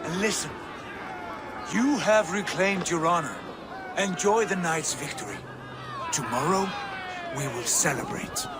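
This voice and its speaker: A man's voice: sits high, a little slow, pauses often, a crowd in the background. An actor playing a commanding male Viking chieftain in a video game. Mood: calm. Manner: commanding, boastful, then calm and brotherly. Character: bold male Viking chieftain, proud brother. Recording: game audio with loud ambient noise.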